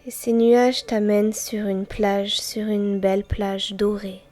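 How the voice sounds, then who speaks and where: A woman guiding a yoga nidra meditation in a soft, breathy, near-whispering voice, gently and unhurriedly, with long pauses between short phrases. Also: medium pitch, flat delivery. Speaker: guided meditation teacher and host. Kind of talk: guided yoga nidra meditation, slow and soothing. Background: soft music with chimes.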